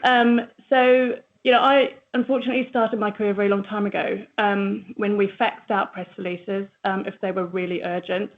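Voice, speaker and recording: A woman's voice: medium pitch, steady tone, moderate pace, hardly any pauses. A middle-aged woman from New Zealand with a New Zealand accent, giving a chatty presentation. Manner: chatty, with fillers. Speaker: agency managing director. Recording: tinny phone-line audio.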